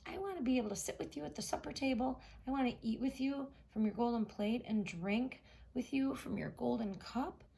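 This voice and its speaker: A woman voicing an eager little male frog in a storybook, high and insistent. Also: brisk, creaky, dark tone, slight background noise. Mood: bargaining.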